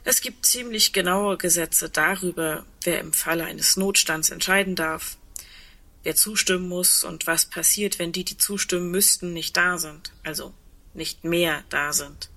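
A woman's voice: on the low side, steady tone, a little slow, gravelly, pushed and bright, heavily acted. An actress playing a candid, sceptical woman clinging to a little hope in a naturalistic radio play, with a plain, questioning delivery. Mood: pensive, sceptical.

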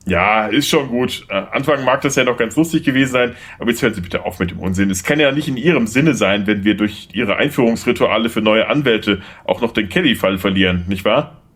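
A man's voice: on the low side, a little fast, fairly bright tone, breathy edge. An actor playing an exasperated, insistent lawyer, new in his job, in a German audio drama. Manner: indignant, insistent. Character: exasperated young male lawyer, newly hired, indignant.

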